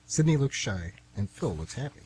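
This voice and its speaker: A man's voice: medium pitch, big pitch swings, moderate pace, mellow, a little hiss or hum, low-quality recording. A man reading scripted narration as the narrator of a radio play.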